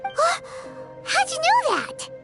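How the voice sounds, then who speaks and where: A tiny, cheeky fairy companion from a video game. The childlike voice is extremely high and squeaky, swooping wildly as it chatters and exclaims. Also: female voice, huge pitch swings, takes it slowly, gravelly, loud music behind the voice, exaggerated voice. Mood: curious, apologetic. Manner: speaks of herself in third person, exclaiming.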